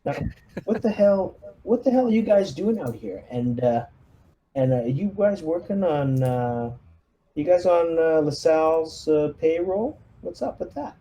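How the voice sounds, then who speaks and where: A man's voice: fairly high pitch, lively intonation, a little slow, warm tone. A man playing a swaggering, commanding marine sergeant in a tabletop game, swearing as he gives orders. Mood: conciliatory, probing.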